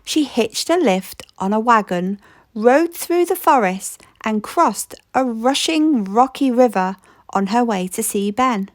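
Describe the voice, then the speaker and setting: A woman reads a children's picture book aloud, unhurried and playful. Her voice is fairly high and swings widely in pitch, and the recording is close and dry with no room sound. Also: no echo. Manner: slow, expressive read-aloud with playful rhythm. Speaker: storybook reader.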